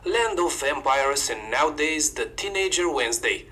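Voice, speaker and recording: Bright Romanian-accented man narrating mythology. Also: on the high side, animated, moderate pace. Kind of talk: scripted narration.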